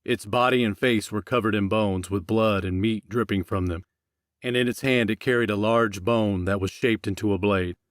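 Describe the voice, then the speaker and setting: A male audiobook narrator reads scripted fiction in a fairly low voice. The recording is dry, with hardly any room sound. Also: fairly even intonation, normal speed, no echo.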